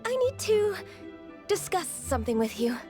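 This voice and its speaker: Very high, timid young woman. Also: very animated, moderate pace. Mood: nervous. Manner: hesitant, apologetic, polite. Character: gentle, timid, anxious, deferential young woman. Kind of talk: video game character acting. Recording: light music behind.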